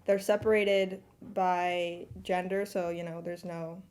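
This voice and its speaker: Mellow young woman hosting a chat. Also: on the low side, slow pace, a little hiss or hum. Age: young adult. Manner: relaxed, steers the talk with questions. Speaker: host. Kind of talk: casual chat.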